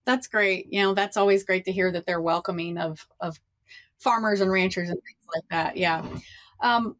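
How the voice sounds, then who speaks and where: A woman's voice: lowish voice, normal speed, fairly bright tone. A woman hosting an interview podcast, welcoming her guest in a friendly, chatty way with a few restarts. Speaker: podcast host. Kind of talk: casual interview chat.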